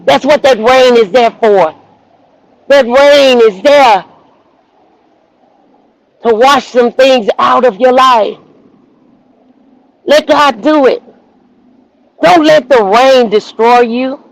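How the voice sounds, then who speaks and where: Woman minister, very high voice, repetitive exhorting preacher, outdoors on a phone stream. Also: slow, long pauses, no echo, low-quality recording. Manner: repetitive, exhorting, rising to shouts. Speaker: minister and show host. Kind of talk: motivational preaching on a livestream. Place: outdoors in the rain. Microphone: phone livestream.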